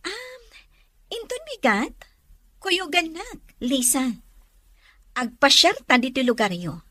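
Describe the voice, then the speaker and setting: Actress as a very high-pitched, clingy young Ilocano woman. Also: sing-song, slow pace, sharp tone, dry recording, heavily acted. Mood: friendly. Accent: Filipino, Ilocano. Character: well-off young city woman, eager and clingy in love. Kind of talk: Ilocano radio drama acting.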